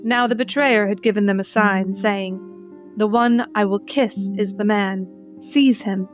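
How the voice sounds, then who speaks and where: A woman's voice: medium pitch, steady tone, a little slow. Woman reading scripture over guitar music. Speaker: scripture narrator. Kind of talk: dramatic Bible reading. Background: plucked guitar music.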